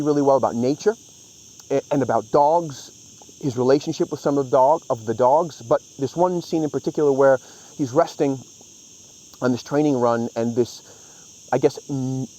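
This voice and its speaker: A man's voice: medium pitch, warm tone, poor audio. A man giving a casual, opinionated book review, talking in a hesitant, conversational way as he thinks aloud.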